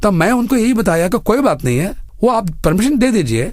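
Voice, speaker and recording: A man in his fifties from India tells his own story as a studio interview guest, earnestly and in deliberate phrases with English words mixed in. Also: high-pitched, sing-song, dry recording. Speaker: interview guest, bank general manager. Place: radio studio.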